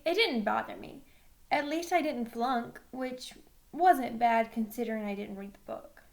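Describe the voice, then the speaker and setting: A woman with a fairly high voice narrates a children's novel in the first person. She reads expressively, as a storyteller. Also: a little slow, creaky. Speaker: read-aloud reader.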